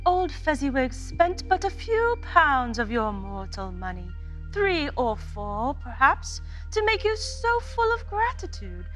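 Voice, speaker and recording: Woman acting as stately female spirit, high voice, slow swooping delivery, solemn and commanding, radio drama. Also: big pitch swings, fairly bright tone, soft background music, exaggerated voice. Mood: probing.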